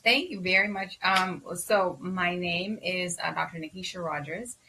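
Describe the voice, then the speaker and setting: A woman from the Bahamas with a Bahamian accent, a physician guest talking casually and lively in an interview, with many ums and repeated words. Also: on the low side, a little slow, slight background noise.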